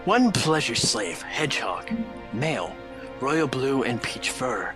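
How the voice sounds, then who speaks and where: A man voicing a stern, matter-of-fact male hedgehog anti-hero in a fan fiction audio drama, with measured, clipped delivery. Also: mid-range voice, big pitch swings. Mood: flat, formal. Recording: music behind the voice.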